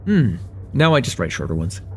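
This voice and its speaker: A man's voice: mid-range voice, big pitch swings, brisk, darkish tone, faint music behind, natural voice. A man playing a friendly, chatty young guy at a cafe in a casual, slightly hesitant conversational ASMR roleplay. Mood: friendly, curious.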